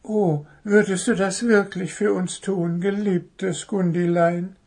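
A man's voice: high voice, little pitch movement, slow, darkish tone, pitched above the performer's own voice, heavily acted. Man doing a sweet little bird. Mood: adoring. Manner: sweet, wondering. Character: small, dreamy lovestruck bird.

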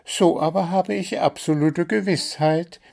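A man voicing an excitable little bird who squeaks eagerly and schemes for presents. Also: a little slow, gravelly.

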